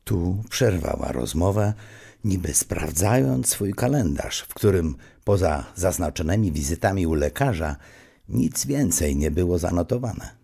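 A man's voice: low-pitched, very animated, measured pace, gravelly, warm tone, husky, full character voice. A man narrating an audio drama as a storyteller with dry irony. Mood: dry storytelling.

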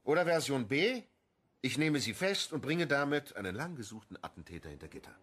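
An actor playing a cynical, menacing police official in a radio crime drama, taunting and deliberate. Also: male voice, medium pitch, sing-song, fairly bright tone, breathy edge. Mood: smug, threatening.

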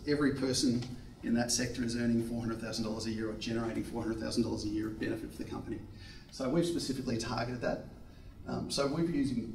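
A man presenting slides in a seminar room with a handheld mic, brisk and upbeat. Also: mid-range voice, gravelly, roomy sound, distant mic, a little hiss or hum, rough recording.

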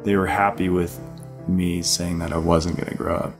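Low-voiced middle-aged man over music. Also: flat delivery, normal speed. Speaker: ski guide. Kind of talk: reflective documentary interview.

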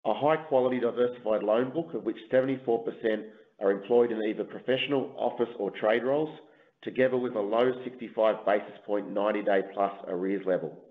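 A man, a company executive, giving a formal, measured, scripted investor presentation over a conference call line. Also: on the low side, phone-quality sound.

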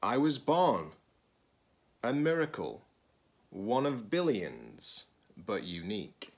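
A middle-aged man, a teacher-librarian, reading a children's picture book aloud deliberately, in short phrases with pauses. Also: fairly low pitch, wildly swooping pitch, creaky, breathy edge.

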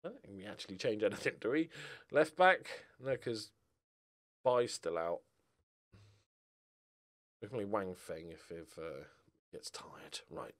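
A man's voice: lowish voice, expressive, measured pace, long pauses, a bit bright. A middle-aged man giving casual, rambling commentary on a game, with a wry, self-mocking, jokey manner. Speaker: gaming video host.

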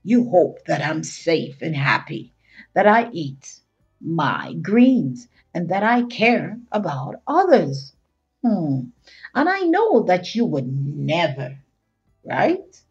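A woman's voice: fairly low pitch, big pitch swings, slow pace, darkish tone, highs cut off, faint music behind, pitched below the performer's own voice, exaggerated voice. A woman voicing a curious, suspicious young child from a picture book, in a dramatic, wondering manner. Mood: hopeful, doubting. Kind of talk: picture-book character read aloud.

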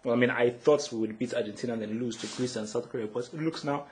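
A man's voice: mid-range voice, fast, darkish tone, slight background noise. A young man from Nigeria giving a frustrated, emphatic solo football analysis, often restarting his words as he vents. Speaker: football blogger. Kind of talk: solo match analysis rant.

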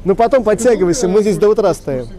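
A man's voice: high-pitched, expressive, quick pace, dark tone. A man in his thirties hosting a casual street quiz, joking and mock-serious, rambling with crude slang, into a handheld microphone outdoors. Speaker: street quiz show host. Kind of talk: casual comic street interview. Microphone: handheld reporter microphone.

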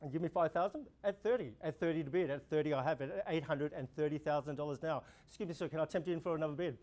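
A young man with an Australian accent, an auctioneer running a practice auction, calling bids in a steady repeating rhythm. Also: on the high side, rapid-fire. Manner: rhythmic, repetitive bid calling, gestures while talking. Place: indoor room before a projection screen.

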